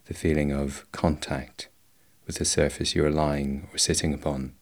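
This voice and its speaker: A man guiding a meditation in a slow, measured, instructional way, leaving long pauses between phrases. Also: rumbling low, level tone. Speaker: meditation guide. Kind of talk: guided body scan meditation.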